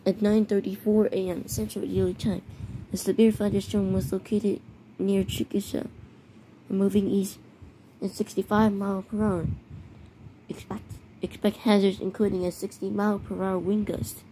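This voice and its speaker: A woman with a soft-edged, dark and mellow voice reads out emergency-style announcements. Her delivery is halting and she trips over words. It is a homemade recording with some faint noise behind her. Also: medium pitch, poor audio. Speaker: narrator of a homemade emergency alert scenario. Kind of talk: halting read-aloud of mock emergency bulletins and weather warnings.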